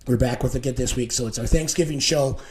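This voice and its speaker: A male show host talks very quickly in a casual, chatty way. His voice sits at a medium pitch and carries a slight hoarseness. The delivery is loose and informal, like live talk between co-hosts. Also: a little mellow.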